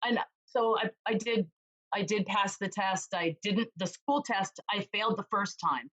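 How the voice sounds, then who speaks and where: A woman real estate agent chatting as an interview guest, with a loud voice, telling stories lively and acting out what others said. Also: medium pitch, measured pace. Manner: animated storyteller, acts out quoted voices. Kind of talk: casual interview chat.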